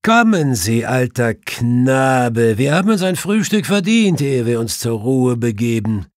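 A man's voice: mid-range voice, sing-song, a little slow, no room sound, studio quality, voice pushed up. A man voicing a clever, self-assured male detective who explains things precisely in an audiobook. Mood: mischievous. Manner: precise, explanatory, faintly mischievous.

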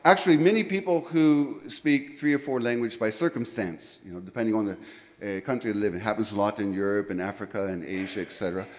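A man's voice: on the low side, fast, darkish tone. Middle-aged American man as a conference presenter; rambling, checks in with "okay?", handheld mic in a lecture hall with reverb. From United States. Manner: rambling, self-correcting, frequent "okay?" check-ins. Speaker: conference speaker. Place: large lecture room, indoors. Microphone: handheld microphone.

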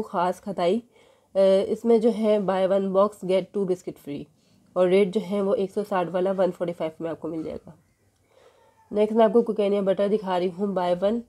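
A woman, walking through supermarket sale prices in a casual vlog, filming a poster on her phone in a store. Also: medium pitch. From Pakistan. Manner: steady price listing with filler "jo hai". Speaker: shopping vlogger. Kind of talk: casual product walkthrough. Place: indoors in a supermarket.

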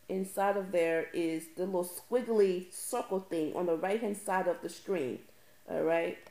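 A woman's voice: lowish voice, gravelly, dark tone, echoey room, rough recording. A woman presenting an informal step-by-step tutorial, emphatically repeating key phrases for stress.

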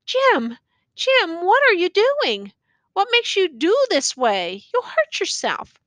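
Woman doing a frantic young soldier, quavering, high-pitched, pleading. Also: big pitch swings, slow, fairly bright tone, no echo, full character voice. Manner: coaxing, quavering. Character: anxious young male soldier, desperate friend.

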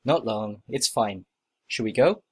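A man acting out short scripted everyday dialogues for a listening test, with plain, expressive conversational reading. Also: lowish voice, a little slow, husky.

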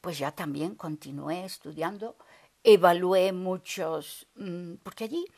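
Older woman with a gravelly, husky voice. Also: lowish voice, measured pace. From Basque Country. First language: Basque. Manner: lively storyteller, gestures a lot, acts out her own past remarks. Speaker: interviewee. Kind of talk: casual interview. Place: living room, on a sofa.

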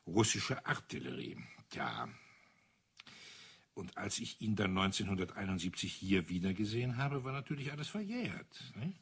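Old retired officer, husky, lively intonation, bitter, matter-of-fact, crime radio play. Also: male voice, fairly low pitch, normal speed, full character voice. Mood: grim, restrained. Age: older.

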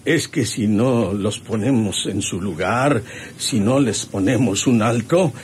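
A man's voice: lowish voice, takes it slowly. A man playing a protective, hot-tempered older ranch hand, rustic and deferential, with a Mexican accent in a radio drama. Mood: indignant. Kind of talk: radionovela acting. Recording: noisy old radio serial recording.

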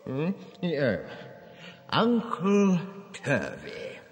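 A sinister, boastful uncle from an audio drama coaxes his listener theatrically. He draws his words out slowly with big swings of pitch. The voice rings in long reverb. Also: wildly swooping pitch, heavily acted. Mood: coaxing, gleeful. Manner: theatrical, coaxing, savouring words. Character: sinister grandfatherly storyteller, self-styled uncle, coaxing and boastful. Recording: long echoing reverb, slight hiss.

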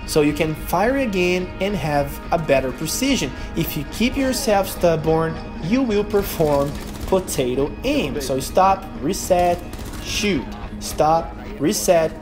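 A man's voice: on the high side, sing-song, slow pace, music in the background. A young man from Brazil with a Brazilian Portuguese accent, speaking English as a second language as he narrates a gaming tutorial in an earnest, emphatic way. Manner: earnest, emphatic, non-native phrasing. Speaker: gaming youtuber. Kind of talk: gameplay tutorial voice-over.

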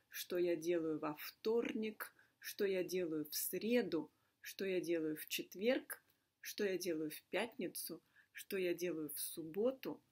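A woman teaching a language lesson in deliberate, simple, carefully repeated phrases. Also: lowish voice, a little mellow, breathy edge. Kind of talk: slow scripted language lesson monologue. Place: indoor room with a fireplace.

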